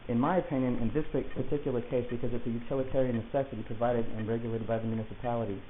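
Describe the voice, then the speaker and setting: Through a tinny, phone-like recording comes a man acting a male defendant in an audio drama. He talks quickly and argues in an insistent, pedantic way, methodical and stubborn, with faint hiss behind him. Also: mid-range voice, little pitch movement, lightly acted. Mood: defiant. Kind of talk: argumentative dialogue in an audio play.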